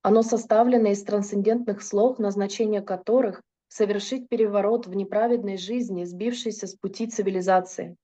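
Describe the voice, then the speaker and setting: Mellow-voiced woman interpreting a lecture. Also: medium pitch, steady tone, fairly quick, hardly any pauses, muffled recording. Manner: fluent, with occasional hesitations and self-corrections. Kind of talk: consecutive interpretation into Russian.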